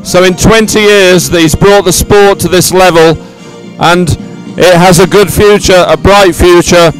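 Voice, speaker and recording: A man giving live event commentary in a halting, improvised way as he fills time. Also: high-pitched, steady tone, soft background music, rough recording. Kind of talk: live commentary on results and medal ceremony.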